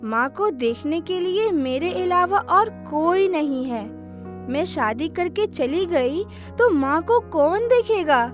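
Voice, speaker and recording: High-voiced, dutiful young village woman actress. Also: expressive, slow pace, exaggerated voice. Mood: shy, concerned. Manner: gentle, polite, reassuring. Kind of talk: Hindi moral-story cartoon voice acting. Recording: music behind the voice.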